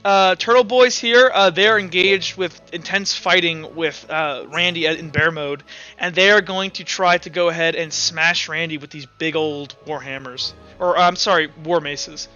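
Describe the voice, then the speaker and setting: Male game master, high forceful voice, informal tabletop storytelling over soft music. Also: normal speed, dull audio. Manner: casual, informal, sound-effect-laden descriptions. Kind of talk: narrating a tabletop roleplaying game.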